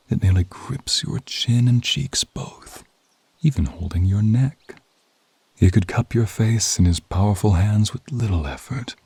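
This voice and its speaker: A man's voice: fairly low pitch, gravelly, darkish tone, breathy edge, no echo. A man narrating a scripted ASMR roleplay in the second person, dramatic and intimate, often dropping toward a whisper.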